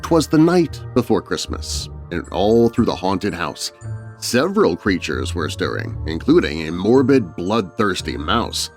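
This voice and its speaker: A male narrator tells a spooky children's tale in rhyme. His delivery is theatrical and very animated, with music underneath. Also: sits low, measured pace. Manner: theatrical, rhyming storyteller delivery. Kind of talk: dramatic children's horror story narration.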